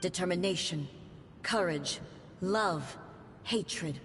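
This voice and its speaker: Solemn, stately warrior goddess. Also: female voice, on the low side, a bit bright, slight background noise. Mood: grave. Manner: formal, grave, measured. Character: solemn divine female warrior ruler and her puppet double. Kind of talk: video game voice acting.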